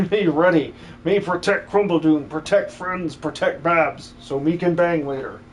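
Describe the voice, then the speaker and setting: A man's voice: on the high side, measured pace, a little hiss or hum, close to an everyday voice. Man doing a simple caveman-talking monster brute. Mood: eager, loyal. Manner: broken caveman-like grammar.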